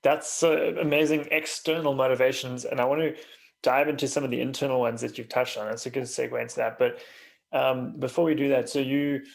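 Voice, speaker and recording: Man, creaky voice, mid pitch, casual interviewer, podcast host. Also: fairly even intonation, a little fast.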